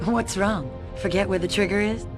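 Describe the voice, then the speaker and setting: A woman's voice: mid-range voice, normal speed, over music, close to an everyday voice. A woman playing a plucky female fighter in a video game cutscene, with a playful, teasing delivery.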